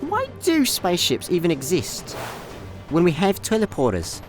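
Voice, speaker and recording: A man's voice: high voice, wildly swooping pitch, moderate pace. A man with a Scottish accent playing a cocky, flippant space layabout in a comic sci-fi radio play. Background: music.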